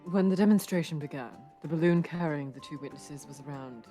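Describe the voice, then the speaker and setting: A woman voicing an official who lays out the facts in a loose put-on Spanish-Italian accent, measured and explanatory. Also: deep voice, music in the background, natural voice. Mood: explaining.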